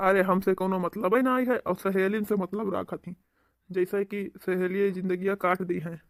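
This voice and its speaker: A young Awadhi village husband from a cartoon comedy , acted by a man. His voice is high for a man and closely, dryly recorded, with a long-suffering, grumbling delivery. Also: steady tone, moderate pace, dry recording, studio quality. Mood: impatient. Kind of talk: comic cartoon dialogue.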